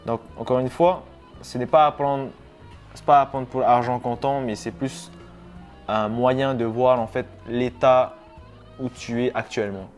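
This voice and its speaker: Relaxed young man rambling to camera. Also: medium pitch, measured pace, soft background music. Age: 20s. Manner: relaxed, rambling, many hesitations. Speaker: YouTuber. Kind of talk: casual vlog talk to camera.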